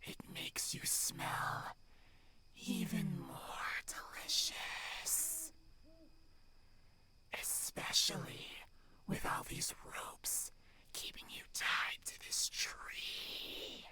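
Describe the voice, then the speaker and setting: Predatory demon monster for horror ASMR. Very slow, savoring whisper, demonic processing. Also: female voice, on the high side, huge pitch swings, pitched above the performer's own voice, full character voice. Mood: gloating, predatory. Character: menacing female demon monster in true form, gloating predator. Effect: demonic voice effect.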